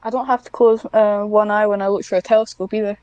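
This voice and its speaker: A woman's voice: mid-range voice, fairly even intonation, quick pace, warm tone, clear, resonant tone, dry recording, muffled recording. A young woman chatting casually as an interview guest, with a self-deprecating, dryly joking manner. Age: young adult. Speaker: podcast guest, script writer.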